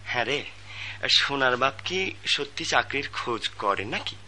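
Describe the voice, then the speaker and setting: An actor playing a deferential household servant in a radio drama. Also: male voice, medium pitch, expressive, unhurried, sharp tone. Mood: curious.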